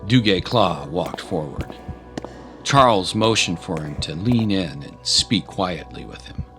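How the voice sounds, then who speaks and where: A male narrator delivers scripted dramatic storytelling. His voice sits low, and music plays clearly behind it. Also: expressive, a little slow.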